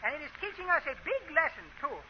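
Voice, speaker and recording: A jovial immigrant man in an old radio comedy. He declaims proudly in a very high voice with mangled English. The recording is muffled and slightly noisy. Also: sing-song, fast talker. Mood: proud, declamatory. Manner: florid, declamatory, malapropism-prone. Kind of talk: 1930s radio comedy acting.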